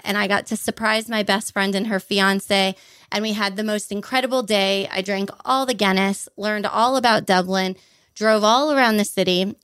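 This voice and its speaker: A woman's voice: medium pitch, fairly bright tone, no echo. A young adult woman from Hawaii, enthusiastically recounting her life story as a guest in an interview. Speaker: podcast guest.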